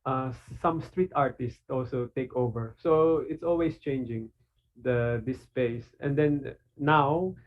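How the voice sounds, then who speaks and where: A man from the Philippines with a Filipino accent, talking casually in an online panel, halting with many fillers and restarts. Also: medium pitch. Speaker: artist and guest speaker. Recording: phone-like video call audio.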